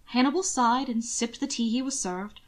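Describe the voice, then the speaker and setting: A woman's voice: fairly high pitch, normal speed. A woman reading scripted fiction aloud as the narrator of an amateur audiobook.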